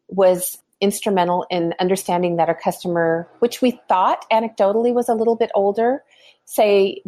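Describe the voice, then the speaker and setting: A woman's voice: medium pitch, warm tone. A woman in her fifties, a skincare company founder, talking earnestly in a podcast interview and explaining her views with frequent restarts. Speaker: skincare brand founder, guest.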